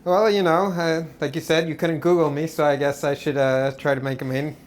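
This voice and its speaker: A man from Portugal, an artist guest, talking about his work in a relaxed, rambling way with many restarts. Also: on the high side, a little fast. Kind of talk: casual interview.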